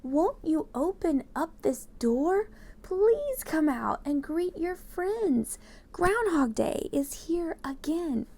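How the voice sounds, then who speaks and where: A woman voicing an exasperated, pleading town mayor who calls out loudly in drawn-out words, in a children's storybook read-aloud. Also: very high voice, very animated, voice pushed up, exaggerated voice. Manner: loud, drawn-out calling.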